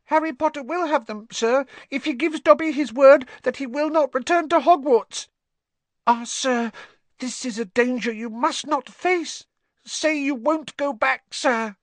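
A man's voice: a little slow, no room sound. A man voicing a small anxious male house-elf who pleads and speaks of himself in the third person. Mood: pleading. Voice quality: very high, squeaky.

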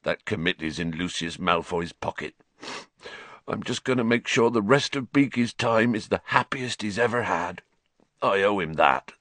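A man voicing a big, soft-hearted gamekeeper who speaks in rustic dialect, sad and gruff. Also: mid-range voice, lively intonation, normal speed, no echo, voice pushed up, close to an everyday voice.

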